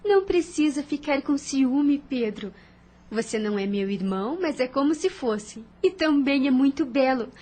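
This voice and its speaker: A woman's voice: high voice, expressive, normal speed, dark tone. A woman playing an affectionate, teasing young sister who is protective of her brother, in an audio novel. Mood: tender, reassuring.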